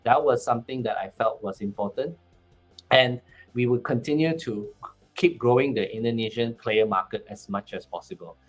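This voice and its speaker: A man's voice: mid-range voice, normal speed, faint music behind. A man with a Malaysian accent, speaking as a guest on a panel, often restarting and repeating words. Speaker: country manager, panel guest. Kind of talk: casual panel talk.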